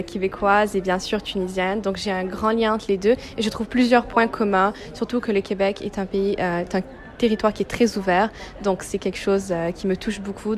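This is a young woman, speaking as a youth organization representative. She gives explanatory answers in an interview, at a middling pitch for a woman. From Quebec, Canada.

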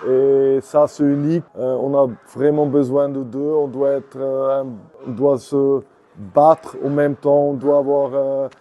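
Flat, dark-voiced coach. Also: male voice, mid-range voice, highs cut off. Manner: slow, searching for words, non-native phrasing. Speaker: head coach. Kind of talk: interview answers.

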